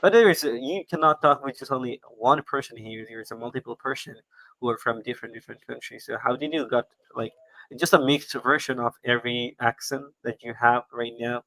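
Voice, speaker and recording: Halting man hosting a live stream. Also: mid-range voice, a bit bright, dry recording, rough recording. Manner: halting, repeats words, non-native grammar. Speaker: host. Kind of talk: casual live-stream chat.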